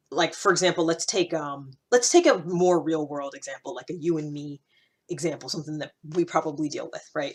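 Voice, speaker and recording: A woman in her thirties hosting a vlog, talking in a casual, reflective monologue with a rambling, conversational, self-interrupting manner. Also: on the low side. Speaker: vlog host.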